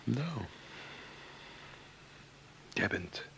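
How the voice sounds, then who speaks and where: An actor in a Dutch radio play playing a wry, principled filmmaker brother who speaks ironically, with muttered asides. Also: male voice, mid-range voice, sing-song, very slow, gravelly, bright, forceful tone, slight background noise, full character voice, poor audio. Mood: stunned, sardonic.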